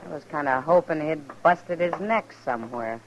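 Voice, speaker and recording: A woman playing a worldly, warm-hearted, independent frontier saloon woman, wry and folksy, in a 1950s radio western. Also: sits low, moderate pace. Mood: curt, wry. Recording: dull vintage 1950s radio recording.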